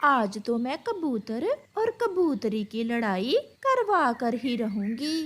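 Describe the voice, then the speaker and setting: A woman's voice: fairly high pitch, very animated, slow pace, slight background noise. A woman voicing a jealous, scheming female crow in a cartoon, scolding and bossy. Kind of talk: Hindi animated bird fable acting.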